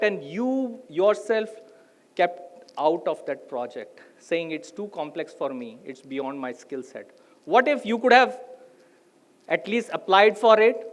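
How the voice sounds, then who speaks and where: High-voiced Indian man giving a motivational talk. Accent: Indian English. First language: Hindi. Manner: addresses the audience directly with rhetorical questions. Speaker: motivational speaker.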